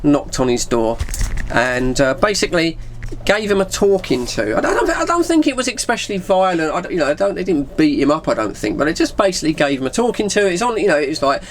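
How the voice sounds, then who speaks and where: A middle-aged English man tells stories in a casual, rambling way. His voice sits a little high and moves around a lot in pitch, with faint noise behind him. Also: very animated, fairly quick. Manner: informal British slang, acts out bits of dialogue. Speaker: vlogger telling personal stories. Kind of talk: casual rambling anecdote chat.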